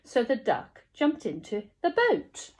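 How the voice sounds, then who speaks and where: A woman's voice: high voice, huge pitch swings, takes it slowly. A woman reading a children's storybook aloud expressively, like a teacher telling a story to small children.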